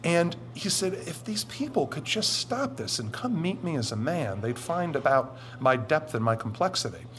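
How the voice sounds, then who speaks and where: A middle-aged man with a deep voice, answering interview questions in a deliberate, explanatory way with drawn-out emphatic phrases. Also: big pitch swings, a bit bright, a little hiss or hum. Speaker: interview guest.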